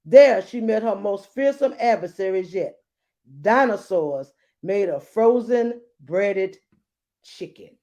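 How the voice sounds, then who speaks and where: A woman's voice: mid-range voice, expressive, slow, mellow. A woman reading a children's storybook aloud in a dramatic way, with playful asides. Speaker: storybook reader. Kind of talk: animated children's read-aloud narration.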